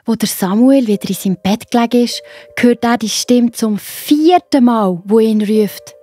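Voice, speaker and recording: A woman with a Swiss accent narrating a story for children in a simple, expressive way. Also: on the high side, normal speed, husky.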